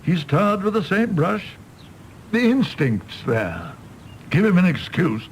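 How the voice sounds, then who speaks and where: An actor playing a world-weary, cynical British doctor in a radio play, musing wryly and theatrically. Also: male voice, high-pitched, sing-song, slow pace, slight background noise, heavily acted. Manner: wry, rambling, theatrical musing. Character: cynical, world-weary doctor, a fallen idealist, teasing and philosophical.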